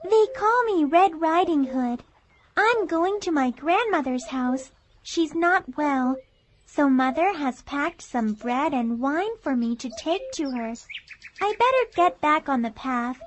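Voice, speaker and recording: Sweet storybook little girl, high sing-song voice, slow, polite, wide-eyed, noisy recording. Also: lively intonation. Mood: polite, trusting. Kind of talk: children's fairy tale character acting.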